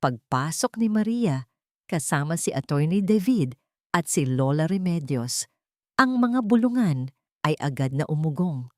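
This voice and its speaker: A woman's voice: low voice, sing-song, a little slow, no room sound, studio quality. A woman reading a scripted, dramatic love story as its narrator.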